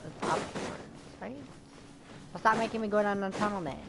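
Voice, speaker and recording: A woman's voice: medium pitch, normal speed, noisy background. A woman streamer giving casual gameplay commentary, chatting to herself and to the characters. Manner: talks to herself and to game characters. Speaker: game streamer. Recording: loud game music behind the voice. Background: game music.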